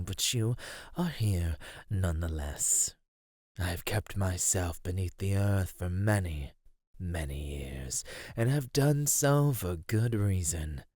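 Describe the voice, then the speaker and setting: A man playing an ancient cave monster in an ASMR roleplay, husky and low, speaking formally with predatory, teasing menace. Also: a little mellow, no echo, studio quality.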